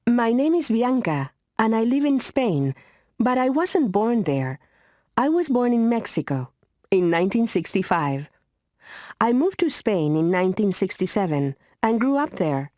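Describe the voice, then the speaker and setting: Mellow, lively woman reading a textbook dialogue. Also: on the high side, a little slow, dry recording, clean studio sound.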